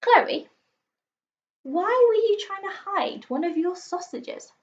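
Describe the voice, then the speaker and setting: A woman voicing a kindly father in a children's story, questioning gently and with emphasis. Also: extremely high pitch, expressive, takes it slowly, highs cut off, pitched above the performer's own voice, full character voice. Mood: gentle, puzzled.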